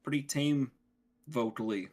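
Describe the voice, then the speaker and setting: A man co-hosting a gaming podcast in casual conversation, halting and repeating words as he explains things. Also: mid-range voice, darkish tone. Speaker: podcast co-host.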